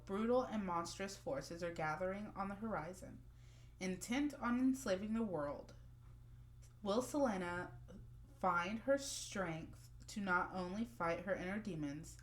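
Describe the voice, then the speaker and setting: A woman co-hosting a book podcast who is congested with a head cold, talking in a drawn-out way with frequent ums, and reading a book blurb. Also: on the low side. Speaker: podcast co-host.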